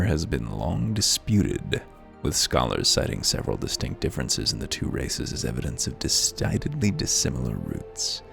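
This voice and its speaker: A man's voice: low voice, creaky. A man giving wry, musing, articulate commentary as the host of a video game playthrough, moving between casual asides and reading aloud. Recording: music playing behind the voice. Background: music.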